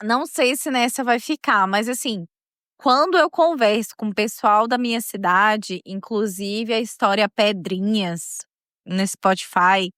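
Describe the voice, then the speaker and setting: A woman's voice: mid-range voice, a little slow, a bit bright, no echo, clean studio sound. A woman from Minas Gerais with a Mineiro accent, hosting a podcast and telling a real-life story in a casual, chatty way, laughing often and talking straight to her listeners. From Minas Gerais, Brazil.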